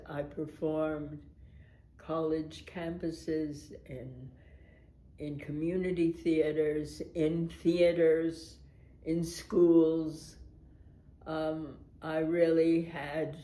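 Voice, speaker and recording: Older American actress, slow-paced animated storyteller with frequent laughs, roomy echoey sound. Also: female voice, sits low. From United States. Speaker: veteran stage actress. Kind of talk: reminiscing documentary interview.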